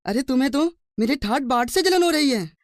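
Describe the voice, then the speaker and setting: A woman's voice: high voice, lively intonation, brisk, no room sound, clean studio sound. A woman voicing a greedy, suspicious, newly rich man in a cartoon story, with a dismissive, grumbling manner. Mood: defensive, dismissive. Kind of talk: children's cartoon story dialogue.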